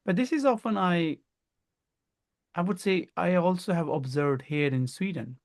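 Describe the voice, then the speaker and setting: A man with a Pakistani accent and a slightly high voice hosts an interview. He speaks conversationally and haltingly, often repeating and rephrasing as he looks for the right words. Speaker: podcast host.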